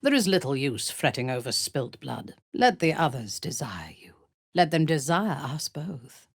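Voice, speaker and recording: Low, husky, imperious dark-elf commander. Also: female voice, sing-song, no room sound, clean studio sound, full character voice. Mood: low, intimate. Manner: imperious, measured, menacing, formal diction. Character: ruthless, commanding female dark-elf warrior priestess, coldly devoted lover. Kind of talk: video game character dialogue.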